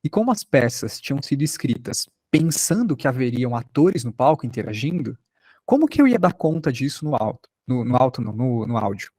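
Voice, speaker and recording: A man's voice: medium pitch, very animated, a little fast, darkish tone, no room sound. A man with a Brazilian accent giving an informal online podcast workshop lecture in an explanatory, conversational way. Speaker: teacher, podcast maker.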